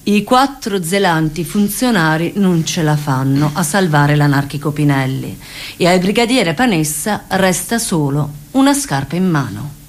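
A woman's voice: deep voice, animated, a little slow. A woman narrating a scripted satirical theatre piece in an ironic, declamatory manner. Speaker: stage narrator.

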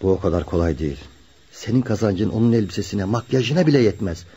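An actor playing a frank, serious young friend who gives admonishing advice in a radio drama. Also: male voice, lowish voice, lively intonation, fast talker, warm tone, breathy edge, dull audio. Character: frank, sober young male childhood friend giving advice. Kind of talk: Turkish radio drama acting.

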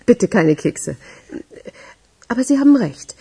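A very raspy, mellow-voiced woman is a polite, reserved guest absorbed in work. Her pitch moves animatedly around a middle register. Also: sing-song, a little slow, heavily acted. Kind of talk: German radio crime drama acting.

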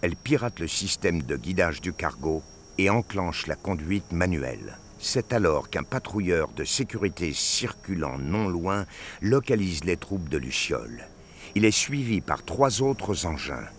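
A man's voice: low voice, lively intonation, unhurried, a little hiss or hum. A man reading scripted narration for a science fiction audio drama.

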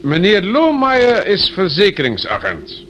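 An actor playing a paternal, officious police inspector who repeats himself, in a noisy old radio play recording. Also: male voice, high-pitched, huge pitch swings, measured pace, fairly bright tone, lo-fi sound, exaggerated voice. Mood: paternal, warning.

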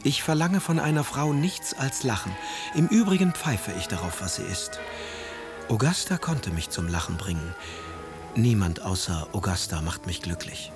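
An actor playing a cruel, scornful young aristocratic poet, haughty and cutting, in a radio drama. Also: male voice, on the low side, big pitch swings, moderate pace, music in the background. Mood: dismissive. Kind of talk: German radio drama acting.

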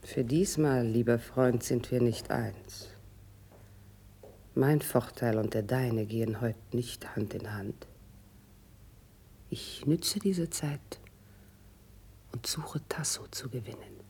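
A slow-spoken, low-voiced noble confidante. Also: female voice, mellow, a little hiss or hum, full character voice. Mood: calculating. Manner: deliberate, formal, persuasive. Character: poised, soothing, shrewd noblewoman and confidante. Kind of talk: classic verse radio drama acting.

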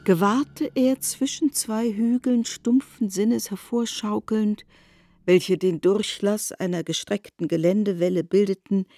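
A woman narrating a literary radio drama in a measured storytelling manner. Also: mid-range voice, fairly even intonation, mellow, no echo, clean studio sound.

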